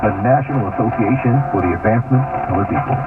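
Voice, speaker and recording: Male radio presenter, quick scripted narration, narrow AM sound, prominent music bed. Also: mid-range voice. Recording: tinny AM radio aircheck. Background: loud music bed.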